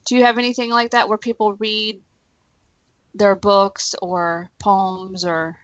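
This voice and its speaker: A woman's voice: medium pitch, flat delivery, takes it slowly, no room sound, muffled recording. A woman co-hosting, asking curious short questions. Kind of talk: casual interview.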